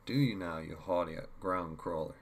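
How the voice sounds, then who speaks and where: A man voicing a calm, commanding male mentor in a story, measured and instructive, teasing and cryptic. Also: deep voice, a little hiss or hum. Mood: mocking.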